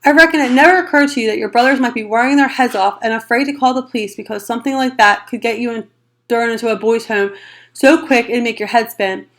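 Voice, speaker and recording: A woman reading aloud, voicing a stern older brother who shouts and scolds. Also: fairly high pitch, normal speed, natural voice. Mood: angry, shouting. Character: furious teenage older brother, stern and worn.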